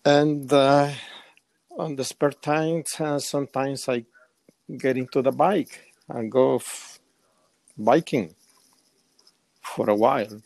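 A man of sixty-five from El Salvador with a Salvadoran Spanish accent, giving casual, halting answers as an interview guest. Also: medium pitch, steady tone, gravelly, darkish tone, dry recording. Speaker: interview guest, semi-retired.

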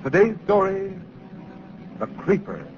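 Dramatic male radio announcer, swooping pitch. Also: big pitch swings, slow pace. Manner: dramatic, emphatic. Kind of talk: 1930s radio announcing, scripted narration. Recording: muffled, faintly noisy old radio recording.

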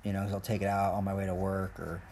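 A man's voice: low voice, monotone, quick pace, vocal fry, a little mellow, slight background noise. A young man, originally from Colombia, rambling in a profane, jokey, self-mocking rant as a podcast host. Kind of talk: casual rambling monologue.

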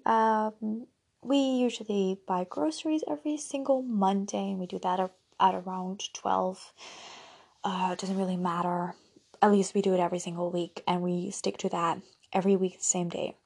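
A young woman whose first language is Dutch talks through her routines in a loose, rambling podcast chat. Her voice has a gravelly fry and a husky, breathy edge. She drifts into giggly asides as she goes. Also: mid-range voice, darkish tone. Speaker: podcast host. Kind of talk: casual solo podcast chat.

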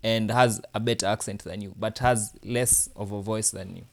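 A man's voice: lowish voice, steady tone, normal speed, creaky. Casual male podcast co-host asking questions. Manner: drives the talk with questions. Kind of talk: casual chat.

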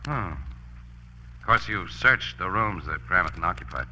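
An actor playing a shrewd, assured newspaper photographer who solves crimes in a 1940s radio drama. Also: male voice, low voice, lively intonation, pushed and bright, slight background noise, exaggerated voice. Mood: probing. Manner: brisk, informal, sure of himself. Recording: dull vintage radio recording.

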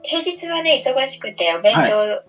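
A woman speaking as a radio guest in a polite, unhurried, casual chat. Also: high-pitched, expressive. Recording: distant, muffled lo-fi, faint music.

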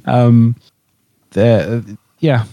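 A man chatting casually on a podcast in a hesitant, halting way, often repeating himself. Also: mid-range voice, fairly even intonation, dark tone, no room sound, poor audio. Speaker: podcast co-host.

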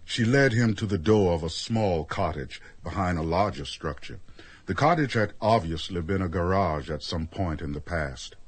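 A man's voice: deep voice, lively intonation, moderate pace, breathy edge. An actor playing a solemn omniscient storyteller, a man reading scripted narration for a radio drama. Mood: measured.

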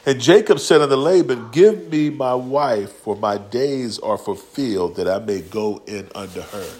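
A man's voice: medium pitch, normal speed, a little mellow. A man preaching a casual, slangy sermon in a church, acting out quotes and talking directly to the congregation. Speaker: pastor. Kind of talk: conversational sermon.

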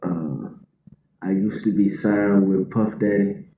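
Slow, low-voiced man in a roomy space. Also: fairly even intonation, warm tone, slight background noise. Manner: halting, full of fillers and restarts. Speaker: game player giving clues. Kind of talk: casual guessing game with friends.

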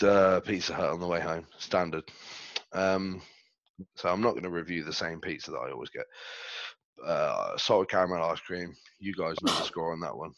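A man's voice: low-pitched, no room sound, highs cut off. Stuffy, laid-back guy. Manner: laid-back, slangy, rambling. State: stuffy, under the weather. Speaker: podcast co-host. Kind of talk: casual chat. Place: reclined in a chair at home. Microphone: headset.

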